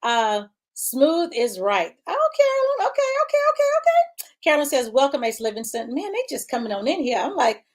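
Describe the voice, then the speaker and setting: A woman's voice: sits high, sing-song, a little fast. A woman hosting a casual live-stream chat, bubbly, laughing often and reading viewer comments aloud. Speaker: show host.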